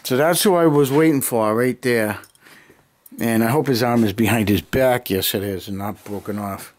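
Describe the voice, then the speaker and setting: A man's voice: mid-range voice, normal speed. An American man in his fifties chatting casually to camera in an unscripted way, thinking aloud in short muttered bursts in a basement hobby room. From United States. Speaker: hobby vlogger. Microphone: handheld camera near arm's length.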